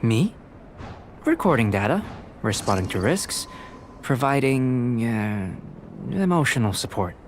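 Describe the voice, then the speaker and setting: A man voicing a polite, analytical young alchemist who speaks in a measured, precise way, in video game voice acting. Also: medium pitch, sing-song, noisy background. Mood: calm. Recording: game audio with faint background music.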